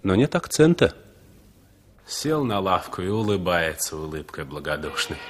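A man's voice: low-pitched, big pitch swings, normal speed, slight background noise. An actor playing a scornful, world-weary man in his thirties, declaiming a poetic inner monologue in a radio play. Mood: reflective. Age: 30s. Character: brooding, scornful thirty-year-old unshaven traveller at a bus station.

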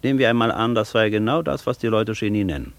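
An actor playing a proud, worldly scholar in a theatrical radio play, speaking with assured, ironic declamation. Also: male voice, fairly low pitch, fast, vocal fry, no room sound. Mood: ironic, gracious.

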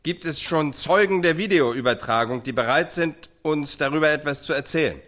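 An actor playing a formal, emphatic news anchor in a radio drama. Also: male voice, on the high side, moderate pace, a bit bright, lightly acted. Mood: urgent, insistent. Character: earnest, theatrical news anchor of a reality show.

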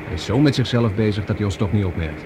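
An actor playing a bold, grumbling spaceman in a radio drama, brisk, impatient and wry. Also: on the low side, lively intonation, background noise, natural voice. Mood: eager, impatient. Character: bold, grumbling male spaceman tailing a suspect.

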